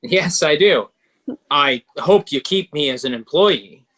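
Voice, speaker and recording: A man playing a pragmatic, smooth-talking banker who coolly advises and persuades, in a table read of a stage musical. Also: fairly high pitch, expressive, takes it slowly, pushed and bright, heavily acted. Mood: courteous, measured.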